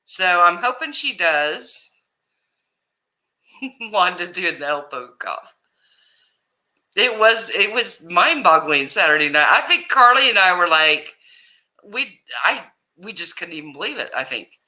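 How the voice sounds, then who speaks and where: Loud, slow-talking woman livestream host. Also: fairly low pitch, very animated. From Ohio, USA. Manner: loud voice that carries, chatty and laughing. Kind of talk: casual livestream chat greeting viewers.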